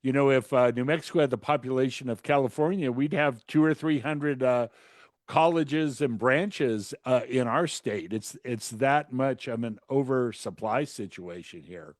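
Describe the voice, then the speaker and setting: A man giving conversational policy commentary as a co-host, in a halting, rambling way. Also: medium pitch, no echo, clean studio sound. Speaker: podcast co-host, businessman and consultant.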